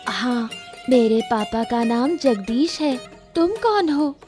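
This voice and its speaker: A woman's voice: on the high side, lively intonation, slow. A woman voicing a polite, innocent young village girl in a cartoon moral tale. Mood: friendly, curious. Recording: music behind the voice.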